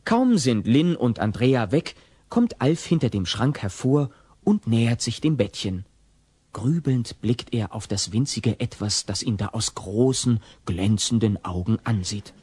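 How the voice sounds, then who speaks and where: A man with a husky voice narrating a scripted radio play. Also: medium pitch, animated, measured pace, a little mellow.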